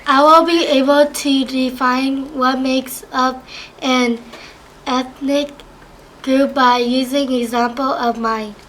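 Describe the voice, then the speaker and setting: A young woman plays a student who bluntly questions a classmate. Her voice is high and bright, with faint noise behind it. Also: steady tone, slow. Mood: reading. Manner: blunt, reproachful. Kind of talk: amateur student acting.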